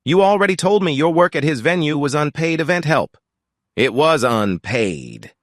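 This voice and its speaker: A man's voice: on the high side, sing-song, normal speed, dry recording, clean studio sound, voice pushed up. A man voicing a guilty, evasive wife in an audio story, terse and guarded. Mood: defensive.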